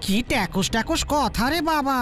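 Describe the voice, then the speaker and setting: A woman voicing the anxious, flattering sidekick of a crook in a kids' cartoon. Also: high-pitched, brisk, background noise. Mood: worried. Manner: fretful, fawning. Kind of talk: animated kids' cartoon acting.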